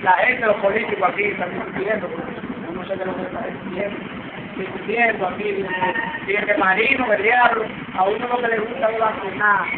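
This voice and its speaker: A young man with a high voice trades loud, teasing banter. He is caught at a distance on a phone camera in an echoey room. Street noise runs loudly behind him. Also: fairly even intonation, fairly quick, phone-quality sound. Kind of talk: casual banter. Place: open-fronted barbershop with street grille. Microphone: handheld phone camera.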